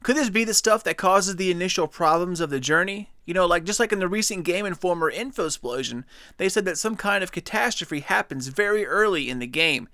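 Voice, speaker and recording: A man's voice: sits high, animated, brisk, sharp tone, no echo, studio quality. A young man hosting a gaming channel, chatting enthusiastically and speculating as he breaks down a trailer. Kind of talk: casual video commentary.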